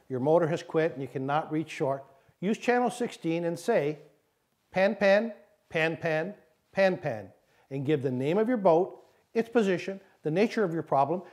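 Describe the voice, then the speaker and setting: A man with a somewhat higher-pitched voice narrates instructional material. He sounds like an instructor working from a script, setting out each point in a measured, didactic manner. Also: expressive, a little mellow.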